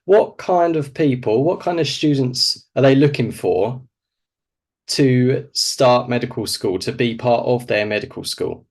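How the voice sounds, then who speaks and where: A man's voice: mid-range voice, measured pace, a little mellow. Snuffly man presenting informally. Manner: explanatory, poses questions then answers them. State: snuffly with a cold. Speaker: presenter, doctor advising medical school applicants.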